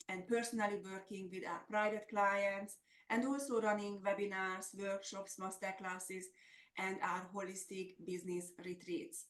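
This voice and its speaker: A woman's voice: medium pitch, fairly bright tone. A middle-aged woman with a Hungarian accent, presenting a semi-scripted business webinar as a coach. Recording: roomy, echoey sound.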